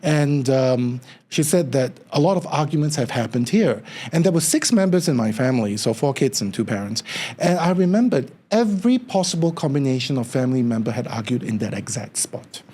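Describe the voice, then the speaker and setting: A man, speaking in a warm, relaxed tone with a slight huskiness. He tells his story with animated energy and slips into livelier voices when he quotes others. He sounds like a guest chatting on an interview podcast. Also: medium pitch, a little fast, breathy edge. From Singapore. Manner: animated storyteller, acts out quoted remarks with a lifted voice. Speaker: feng shui consultant, guest.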